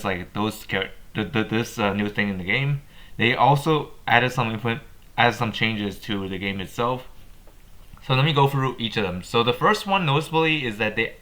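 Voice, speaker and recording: A man hosting a gaming video with casual update commentary, speaking haltingly and often repeating and rephrasing his words. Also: mid-range voice, sharp tone.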